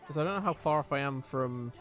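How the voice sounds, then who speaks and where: A man's voice: medium pitch, quick pace, a bit bright. A man streaming a video game, giving casual, rambling, wry live commentary. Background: game music.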